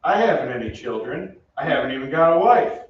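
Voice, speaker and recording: A man's voice: a little high, big pitch swings, brisk, dull audio. Man acting a brash young soldier, very echoey. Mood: annoyed. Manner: blunt, slangy wise-guy talk. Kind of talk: old-time radio drama acting. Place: theatre stage, heard from off. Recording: very echoey distant stage, noisy.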